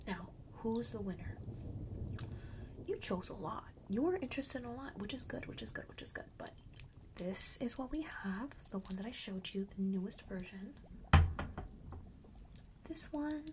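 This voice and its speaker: A woman's voice: on the high side, lively intonation, normal speed, long pauses, background noise. A woman whispering breathily in a chatty, playful ASMR roleplay as a boastful fragrance seller, often repeating phrases for emphasis. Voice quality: breathy whisper, mostly unvoiced. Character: friendly, boastful enchanted-forest perfume seller.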